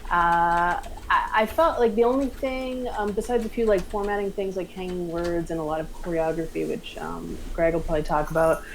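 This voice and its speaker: Woman hosting, lowish voice, noisy background. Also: steady tone, normal speed. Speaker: host of a live script table-read stream. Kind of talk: casual group chat and feedback.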